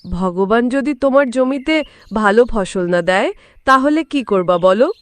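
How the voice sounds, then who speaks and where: A woman's voice: on the high side, lively intonation, moderate pace, no room sound, close to an everyday voice. A woman voicing a practical village farmer's wife in a homely cartoon style. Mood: chiding, practical. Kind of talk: Bengali cartoon voice acting.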